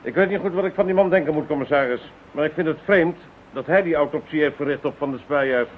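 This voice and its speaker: Sardonic inspector male actor, radio play: slightly high voice, impudent politeness, very narrow-band sound. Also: fast, natural voice. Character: small, cheeky, sardonic male police inspector. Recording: thin band-limited radio drama recording, slight noise.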